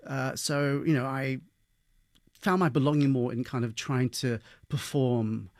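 A man's voice: medium pitch, fairly even intonation, measured pace, a little mellow, dry recording, clean studio sound. A man from London with a British accent, talking conversationally about personal experience as an interview guest. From London, England.

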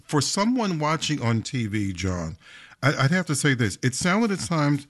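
Man, radio host, studio mic, animated delivery, quick interjections. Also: on the high side. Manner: lively, eager questions, quick interjections.

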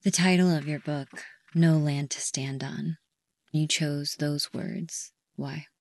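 A woman interviewing an author on the radio, asking calm, soft questions. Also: low-pitched, takes it slowly, gravelly, dry recording.

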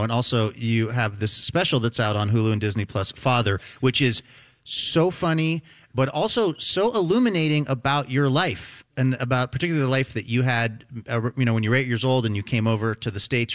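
A man's voice: medium pitch, fairly bright tone. Jokey male radio host. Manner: jokey, teasing, conversational. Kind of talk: casual interview chat.

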